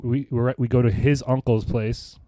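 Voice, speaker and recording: A man's voice: mid-range voice, steady tone, normal speed, warm tone, no echo. Casual podcast co-host, American man in his thirties, loose rambling storyteller, swears freely, dry recording. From United States. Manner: rambling, profane, laughs often. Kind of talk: casual chat.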